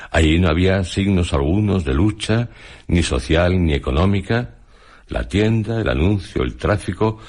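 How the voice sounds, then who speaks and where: An actor playing a reflective, grave male time traveller, in a measured, solemn radio monologue. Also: a bass voice, a little mellow, slightly rough, exaggerated voice. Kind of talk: dramatized literary monologue in a radio programme.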